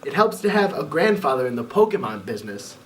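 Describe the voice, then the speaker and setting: A man's voice: medium pitch, big pitch swings, fast talker, a bit bright, pitched below the performer's own voice. A man doing a sneering smug boy bully. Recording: voice a bit far from the mic.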